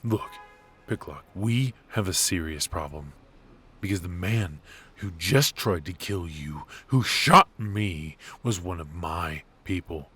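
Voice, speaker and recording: A man's voice: low voice, fairly bright tone, slightly rough, no echo, full character voice. A man playing a cocky, ruthless and protective mafia boss in a noir ASMR roleplay, with an intimate, teasing delivery. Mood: urgent, grave. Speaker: voice actor.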